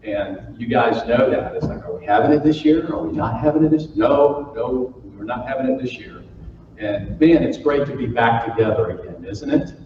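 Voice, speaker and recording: A man's voice: mid-range voice, moderate pace. A man from Florida, giving a humorous keynote speech and telling stories to a live audience in a folksy, joking manner. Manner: folksy, jokey, playful with the audience. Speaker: keynote speaker. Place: large conference hall. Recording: far-off roomy hall, muffled with faint noise.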